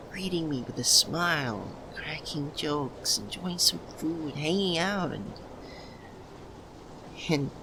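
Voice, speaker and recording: Male actor as lovesick young man, slow halting delivery, widely swinging pitch, emotional ASMR roleplay confession. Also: noisy background. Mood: wistful, tender. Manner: halting, breaks phrases with long pauses.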